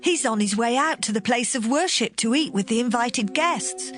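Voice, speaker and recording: An actress playing a helpful, excited young village woman in dramatized scripture, brisk and eager. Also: fairly high pitch, soft background music.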